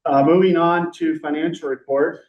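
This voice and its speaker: A man's voice: a little high, fairly even intonation, normal speed, dull audio. Male meeting chair, casual and talkative, heard from a distant mic in an echoey room. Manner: chatty, rambling, with light joking. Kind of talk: council meeting discussion.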